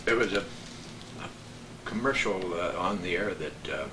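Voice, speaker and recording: An older man reminiscing casually as a guest, halting as he searches for words. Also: sits low, lively intonation. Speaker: guest, broadcasting old-timer. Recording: echoey, distant, noisy room recording.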